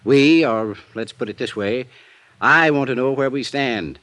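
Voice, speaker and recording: An actor playing a meticulous American insurance executive, polite and measured, in vintage radio drama style. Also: male voice, medium pitch, a bit bright, lightly acted. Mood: guarded, confiding. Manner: measured, polite, hesitant when confiding. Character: courteous, meticulous, guarded male insurance company executive. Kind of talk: 1940s-50s radio acting.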